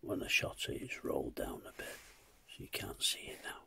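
Bloke doing a casual night hunting vlog, hushed whispery muttering, bit wry. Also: male voice, moderate pace. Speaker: vlogger, hunter. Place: in and around a car outdoors at night.

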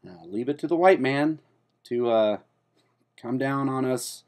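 A man playing a defensive, self-important character in a comedic spoof monologue, talking in a hesitant, rambling way with deadpan earnestness. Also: mid-range voice, unhurried, natural voice. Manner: hesitant and rambling, full of fillers and restarts; deadpan, earnest delivery of absurd claims.

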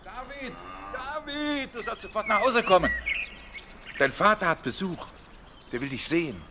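High-voiced farm servant. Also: male voice, animated, moderate pace. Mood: dutiful. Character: simple, helpful male farm servant. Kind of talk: Bible audio drama acting. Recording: clear outdoor animal and bird noise.

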